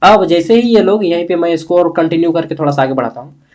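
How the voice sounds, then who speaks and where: Male history tutor, fairly high voice, Bihar-Jharkhand accent; colloquial, repeats key points, poses questions and answers them. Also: animated, fast talker, a little mellow. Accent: eastern Indian Hindi, Bihar-Jharkhand. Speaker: history teacher. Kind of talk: informal exam-prep lesson, explaining a text.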